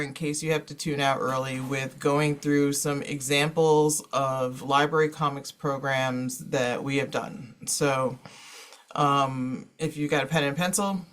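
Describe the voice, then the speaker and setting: A woman who works as a librarian, moderating an online panel and introducing it in an informal, conversational way. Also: low voice. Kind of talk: informal webinar hosting.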